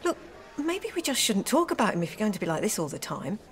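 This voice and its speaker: A woman's voice: medium pitch, sing-song, fast, natural voice. A woman acting in a radio drama as a trusting, easily defensive lover of a married man.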